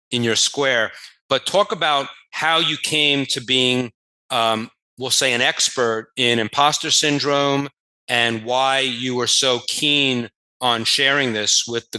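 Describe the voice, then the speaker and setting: A man's voice: medium pitch, pushed and bright, smooth, low-quality recording. A man hosting an interview over a video call, asking rambling questions with frequent restarts and enthusiastic praise. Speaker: host.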